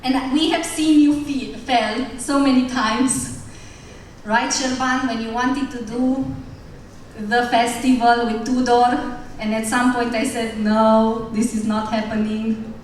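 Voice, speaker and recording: A woman's voice: fairly high pitch, fairly even intonation, slow pace. Romanian woman speaking across an echoey hall. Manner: humorous, direct, addressing students. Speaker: teacher. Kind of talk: graduation speech. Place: large school hall. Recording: echoey hall from far off, some noise.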